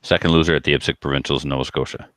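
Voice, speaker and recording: A man's voice: a bass voice, fairly even intonation, very fast, a bit bright, dry recording. A man co-hosting a podcast, telling anecdotes casually with a self-deprecating manner. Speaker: podcast co-host and competitive shooter.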